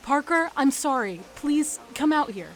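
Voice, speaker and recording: A woman's voice: high-pitched, lively intonation, unhurried, noisy background. A woman playing a sincere, pleading teenage boy apologizing in a radio drama. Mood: apologetic. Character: earnest, apologetic teenage boy at prom night.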